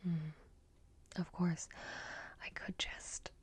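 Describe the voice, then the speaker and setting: A woman performs an ASMR roleplay in a low-pitched, breathy whisper. She plays a quietly scheming robot repair technician who speaks directly to the listener. Her delivery is very slow and deliberate, coaxing and secretive, with long pauses between short phrases. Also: level tone.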